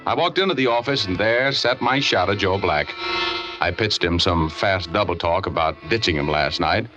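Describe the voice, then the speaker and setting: Hardboiled american detective, deep-ish voice, wry wisecracks, old muffled 40s radio drama. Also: male voice, expressive, moderate pace. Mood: wry narration. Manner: slangy, wry, flirtatious. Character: wisecracking hardboiled male private detective, smooth-talking and cynical. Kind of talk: 1940s radio noir acting with first-person narration. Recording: dull old radio recording with music.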